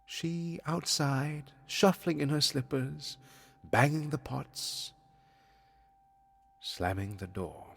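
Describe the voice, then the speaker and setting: An actor playing a withdrawn, brooding pianist in an audio drama, with a husky, creaky voice and a terse, guarded manner. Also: male voice, on the high side, takes it slowly, fairly bright tone, exaggerated voice. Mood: brooding, despairing. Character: withdrawn, brooding male pianist in a strained romance. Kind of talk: naturalistic audio drama acting with inner monologue.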